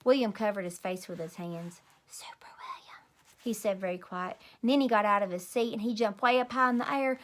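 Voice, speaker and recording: A woman reading a children's story aloud in an expressive, emphatic way, like a teacher. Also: mid-range voice, a little fast, hardly any pauses. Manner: animated, emphatic.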